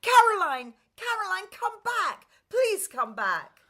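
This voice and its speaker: Woman playing an urgent, high-pitched young prince. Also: very animated, slow pace, pushed and bright, full character voice. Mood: desperate pleading. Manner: urgent, commanding. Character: lovestruck, impatient young prince, male. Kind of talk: musical radio play acting.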